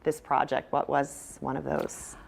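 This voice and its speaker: A woman's voice: rumbling low, level tone, measured pace, creaky. A woman hosting a conversational TV interview, speaking in an encouraging, affirming manner. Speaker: TV morning show host, interviewer. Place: TV morning show interview.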